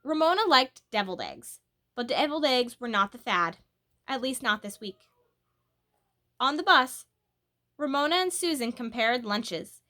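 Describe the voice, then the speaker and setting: A woman narrates a children's story with a very bright, forward voice pitched on the high side. Her reading is expressive and animated, putting emphasis on key words as a storyteller would. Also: unhurried, long pauses.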